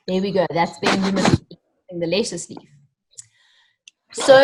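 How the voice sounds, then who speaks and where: A woman reading a story aloud to children, animated and encouraging, pausing to ask them questions directly. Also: mid-range voice, a little hiss or hum, poor audio. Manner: animated, encouraging, addresses young listeners directly.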